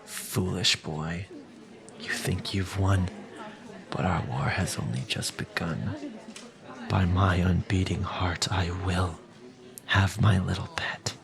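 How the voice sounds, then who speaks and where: A sinister vampire lord, speaks slowly in a deep, creaking voice. He is possessive and menacing, hissing his words in an audio drama with a crowd around him. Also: fairly even intonation, exaggerated voice. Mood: menacing, vengeful. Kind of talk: audio roleplay villain acting.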